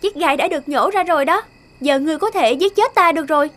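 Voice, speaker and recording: Lady doing a brave earnest cartoon princess, really high voice, formal, music behind. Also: fast talker, close to an everyday voice. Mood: gentle, earnest. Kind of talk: Vietnamese fairy-tale cartoon dub.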